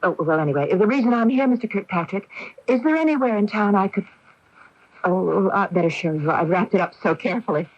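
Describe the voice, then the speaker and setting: A woman's voice: mid-range voice, lively intonation, a little fast, natural voice. An actress playing a polite, evasive young woman in a 1980s radio drama, speaking hesitantly and breaking off mid-sentence. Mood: hesitant, apologetic. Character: polite, evasive young woman, newly arrived summer neighbor. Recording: dull, lo-fi 1980s radio broadcast.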